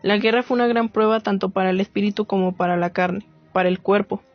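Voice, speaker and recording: Dry, slightly muffled recording of a woman acting as a reflective woman writer narrating a radio drama. Her delivery is solemn and deliberate, with literary phrasing at a middle pitch. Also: steady tone, no room sound, lightly acted. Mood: firm, defiant. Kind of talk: scripted radio-play narration.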